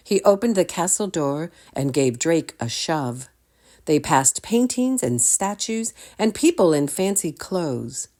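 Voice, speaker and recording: A woman reading a children's story aloud in an expressive, deliberate way, as a storytime reader who addresses young listeners. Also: low voice.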